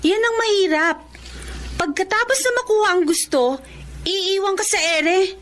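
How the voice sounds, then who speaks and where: A woman playing a sensible, concerned young Filipino best friend in a radio drama, gently admonishing. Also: very high voice, lively intonation, moderate pace. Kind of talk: Tagalog radio drama acting.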